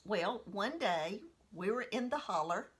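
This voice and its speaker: Woman, 60s, personal storyteller, medium pitch, unhurried and reminiscing delivery. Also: animated, fairly bright tone, slightly rough.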